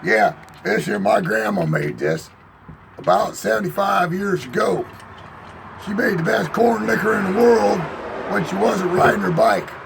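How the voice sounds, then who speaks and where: Gravelly high-pitched guy spinning a porch yarn, voice jumps all over, noisy outdoor background. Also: huge pitch swings, a little slow. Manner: folksy drawl, rambling, boastful, drinking on a porch. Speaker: storyteller in character. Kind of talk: rambling tall-tale monologue.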